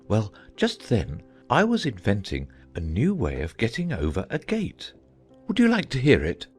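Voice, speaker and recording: A man playing a gentle, dotty old knight, earnest and rambling, in a dramatized audiobook. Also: on the low side, huge pitch swings, moderate pace, soft background music. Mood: earnest, thoughtful. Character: gentle, dotty old male knight, solemn muddled inventor.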